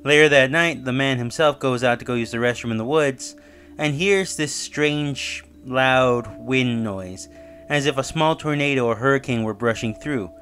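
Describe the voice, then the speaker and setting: Man, channel host, casual recap, rambling with ums and restarts, mid-pitched voice, faint music underneath. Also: normal speed, fairly bright tone. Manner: loose, rambling with fillers and self-corrections. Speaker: channel host reviewing a horror series. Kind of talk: casual review and story recap.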